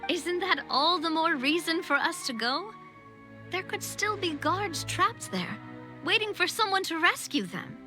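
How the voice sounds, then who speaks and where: A woman's voice: extremely high pitch, animated, unhurried. A woman playing a confident, kind young queenly leader in a video game, with a theatrical, upbeat delivery. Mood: resolute. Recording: music under the voice.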